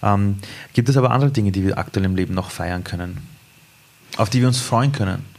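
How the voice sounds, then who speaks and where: A man's voice: lowish voice, a little fast, gravelly, a little mellow. A man hosting an interview, engaged and often speaking directly to viewers and listeners. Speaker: interview host.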